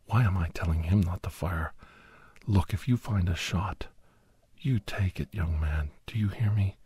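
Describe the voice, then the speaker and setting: Grave soldier-doctor narrator for ASMR audio drama, male actor: very breathy, unhurried, hushed and halting, slipping into whispers. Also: deep voice, no room sound, exaggerated voice. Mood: tense, deliberate. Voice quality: breathy, husky. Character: doctor and ex-soldier recounting a battle, grave, anxious. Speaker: storyteller. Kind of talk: ASMR first-person dramatic story narration.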